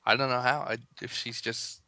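A man's voice: medium pitch, flat delivery, moderate pace, pushed and bright, no echo, clean studio sound. A man talking in a casual, conversational way as he chats with a co-host. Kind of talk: casual chat.

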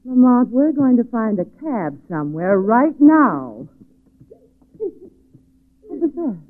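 An actress playing a sleuth's excitable, sympathetic woman companion in a 1940s radio drama. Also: a little high, takes it slowly. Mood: alarmed. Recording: phone-like 1940s radio recording.

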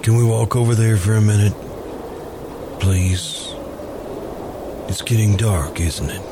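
A man playing a polite, grieving confessed murderer in a hushed, pleading manner, in the style of old-time radio suspense. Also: lowish voice, slow, very noisy, pitched below the performer's own voice. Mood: sorrowful, hushed.

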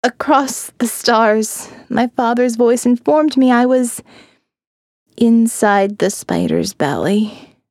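A woman narrating a first-person horror audiobook, slow and hushed, often dropping to a whisper. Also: a little high, very animated, no echo, clean studio sound.

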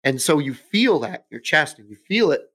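Bright-voiced man hosting a chat. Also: on the high side, very animated, brisk. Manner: thinks aloud, searching for words. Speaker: podcast host. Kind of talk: casual podcast chat.

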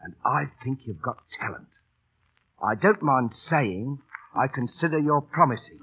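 An actor playing a cheeky, big-hearted London Cockney street entertainer, kindly but bossy, coaxing with repetitive patter in old radio drama style. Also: male voice, mid-range voice, animated, unhurried, slightly rough. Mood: warm, encouraging. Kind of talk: 1930s-40s radio drama acting. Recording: scratchy, noisy, tinny old radio broadcast.

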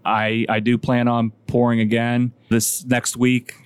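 A man's voice: medium pitch, completely flat delivery, measured pace, smooth, no room sound. A man, a homebrewer guest chatting casually on a podcast, rambling and self-deprecating with many restarts.

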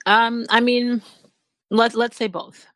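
A woman hosting a casual interview, relaxed and laughing often. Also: a little high, slow, dry recording. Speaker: host.

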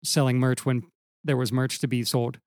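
A slightly hoarse man co-hosting a podcast, laid-back and rambling in casual chat. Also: mid-range voice, little pitch movement, moderate pace, dry recording, studio quality. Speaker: podcast co-host and musician.

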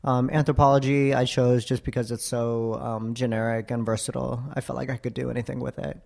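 A young man in his twenties, from Florida, answers questions at an unhurried, halting pace. His voice sits at a middle pitch with a creaky, gravelly texture, and he fills his pauses with soft ums. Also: flat delivery. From Florida, USA. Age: 20s. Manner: thoughtful, hesitant, many ums. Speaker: interview guest. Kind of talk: casual interview.

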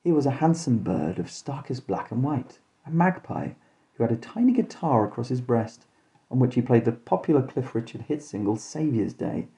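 A man hosting and narrating a scripted comic horror story in a deadpan, mock-dramatic way. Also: mid-range voice, moderate pace, gravelly. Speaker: podcast host and storyteller.

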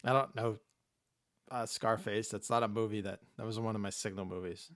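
A man's voice: fairly low pitch, fairly even intonation, a little fast, fairly bright tone, no room sound, clean studio sound. A laid-back man hosting a podcast, chatting casually and laughing as he rambles. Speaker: podcast host. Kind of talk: casual chat.

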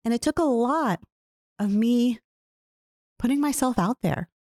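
A woman talking earnestly and reflectively about her own experiences, often putting questions to the listener. She describes her own voice as low. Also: animated, unhurried, darkish tone, dry recording, studio quality. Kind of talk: reflective personal talk.

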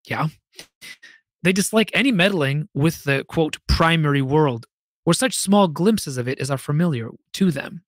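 A man with a somewhat high-set voice hosts a reflective solo podcast. He sounds literate and opinionated, leaning on key words and pausing deliberately for emphasis. The sound is dry, with very little room in it. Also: animated, no room sound. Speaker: podcast host.

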